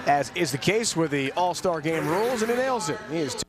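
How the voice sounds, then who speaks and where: An American man calling a football game live as play-by-play announcer, getting excitable on big plays. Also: a little high, a little fast, crowd noise. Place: outdoor football stadium. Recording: very noisy outdoor stadium broadcast.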